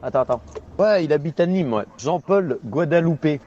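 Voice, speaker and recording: Taunting CB radio user, man, slightly high voice, muffled lo-fi radio with faint hiss. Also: expressive, moderate pace, warm tone. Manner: jeering, laughing at his own jokes.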